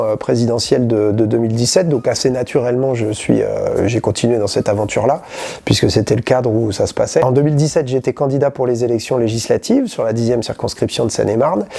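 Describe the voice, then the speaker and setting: Gravelly, hesitant man in his forties. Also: mid-range voice, dark tone. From Normandy, France. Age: 40s. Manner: hesitant, many fillers and restarts. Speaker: parliamentary candidate and schoolteacher. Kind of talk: answering interview questions.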